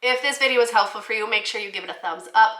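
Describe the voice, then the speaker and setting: A woman teacher giving an instructional talk to fellow educators, explaining things clearly. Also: fairly high pitch, fast talker, bright, forceful tone. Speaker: teacher presenting children's books for the classroom. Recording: roomy, echoey indoor sound.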